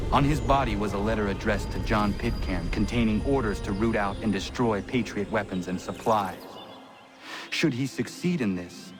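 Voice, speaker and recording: A resolute young assassin voiced by a man in a game cutscene, earnest and tightly controlled. His lowish voice stays even and sincere over quiet music. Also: close to an everyday voice. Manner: earnest, restrained.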